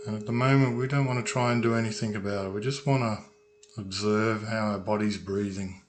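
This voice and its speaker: A man leading a guided meditation as a calm, unhurried instructor, leaving long pauses between phrases. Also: fairly low pitch. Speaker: meditation guide, sound healer. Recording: noisy recording with ringing tuning forks. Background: humming tuning forks.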